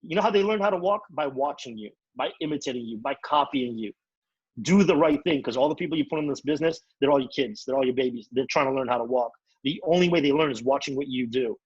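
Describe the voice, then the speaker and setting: A man giving an energetic, repetitive motivational training talk as a network marketing trainer. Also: mid-range voice, fast talker, breathy edge, no echo, muffled recording. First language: English.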